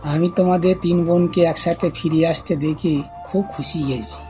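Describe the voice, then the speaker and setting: Man as village father, cartoon, kindly, moralising, measured, higher-than-average male voice, prominent music. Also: fairly even intonation, lightly acted. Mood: pleased, advising. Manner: measured, advising. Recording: loud music bed under the voice.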